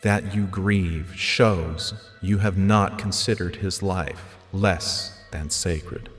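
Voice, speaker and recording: An actor playing a calm, compassionate man consoling a friend in an audio drama, measured and solemn. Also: sits low. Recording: long reverb, faint music. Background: faint music.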